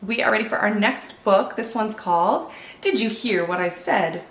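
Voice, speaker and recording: A woman reading picture books aloud as a children's storytime host, expressive and deliberate, with drawn-out dramatic emphasis. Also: medium pitch. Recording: echoey room, faint background noise.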